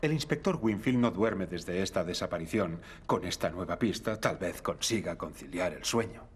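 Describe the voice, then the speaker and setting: A calm refined detective. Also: male voice, sits low, normal speed, slight background noise. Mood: intrigued. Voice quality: composed, precise, correcting.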